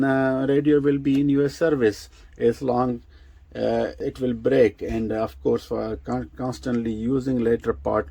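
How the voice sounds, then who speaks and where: A man who speaks English as a second language gives an unscripted product demonstration, explaining technical details in a halting way with repeated words. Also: medium pitch, mellow, dry recording. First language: not English. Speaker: presenter of a vintage radio review.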